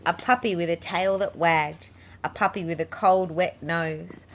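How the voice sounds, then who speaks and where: A woman's voice: lowish voice, steady tone, measured pace. A woman reading a picture book aloud to children as a storybook narrator. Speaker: children's book reader and channel host. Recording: clearly noisy home recording.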